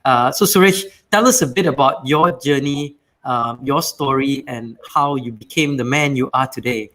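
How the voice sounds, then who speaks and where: A man's voice: medium pitch, normal speed, pushed and bright. A man hosting an interview, asking long, rambling questions full of fillers. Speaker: podcast host.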